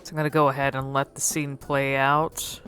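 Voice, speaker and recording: A woman with a low but bright, forward voice commentates casually on a game. She muses as she goes, halting and thinking aloud, with faint music behind her. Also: flat delivery. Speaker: gaming video creator.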